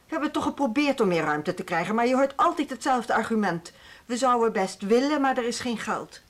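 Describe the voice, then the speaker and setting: Actress doing firm dutch lady boss of a music school, radio play, netherlands accent, brisk, argues back, put-upon. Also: fairly high pitch, lively intonation, lightly acted. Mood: defensive. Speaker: actor in a radio drama. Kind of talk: naturalistic radio play dialogue.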